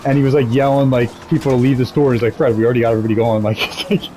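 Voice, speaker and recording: A man's voice: medium pitch, little pitch movement, rapid-fire, mellow, faint music behind, rough recording. A man telling stories casually over gameplay in a rambling, profane, amused way.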